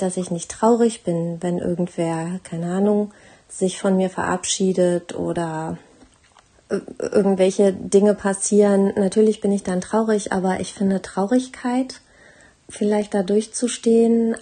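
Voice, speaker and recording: A woman's voice: mid-range voice, dark tone. A woman sharing a personal reflection informally as a guest contributor.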